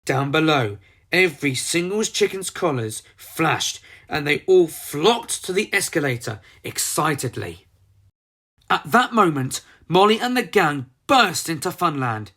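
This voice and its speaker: Storybook narrator, theatrical and emphatic, very lively pitch, unhurried delivery. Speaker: storyteller narrating a children's book. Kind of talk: dramatised storybook narration with character voices.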